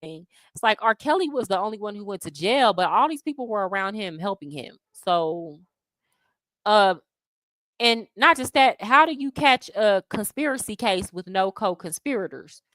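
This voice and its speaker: Young American woman, livestream host, slangy and animated, mid-pitched, dry close sound. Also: normal speed, no echo, low-quality recording. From United States. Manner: chatty and slangy, animated asides. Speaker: livestream host answering chat. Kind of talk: casual livestream chat. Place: home abroad.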